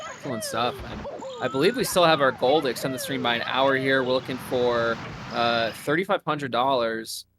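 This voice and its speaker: A man's voice: mid-range voice, normal speed, noisy background. An upbeat, easygoing man commentating a live speedrun stream.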